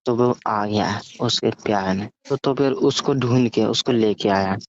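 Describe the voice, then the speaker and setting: A man casually telling a story over the phone, halting and often stammering, repeating syllables and words. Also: fairly low pitch. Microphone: phone call. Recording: muffled, echoey phone call recording.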